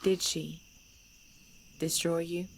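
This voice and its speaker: Girl playing old vampire lady leader, deep voice, formal n deliberate, asmr audio drama, faint cricket noise behind. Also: flat delivery, gravelly. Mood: earnest, firm. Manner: measured, formal, deliberate phrasing. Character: centuries-old female vampire clan leader, composed and commanding. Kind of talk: ASMR audio roleplay acting. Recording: background noise with chirping crickets.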